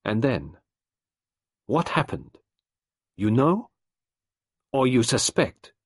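A man's voice: medium pitch, big pitch swings, slow, breathy edge, dry recording, studio quality, pitched above the performer's own voice, heavily acted. A man reading the part of a stern, precise elderly male detective who speaks formally and insistently.

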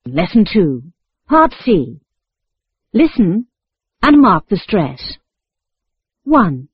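Slow, measured course-audio announcer. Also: mid-range voice, big pitch swings, slightly rough, no room sound. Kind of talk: scripted textbook audio instructions. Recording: dull lo-fi dry tape recording.

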